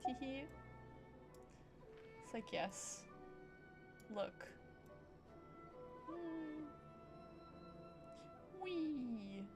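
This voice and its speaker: A woman gives casual live commentary on a game in a fairly high voice. She takes her time, dropping short, playful muttered asides to herself. Game music is clearly audible underneath. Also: animated, extremely slow, pauses often. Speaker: game streamer.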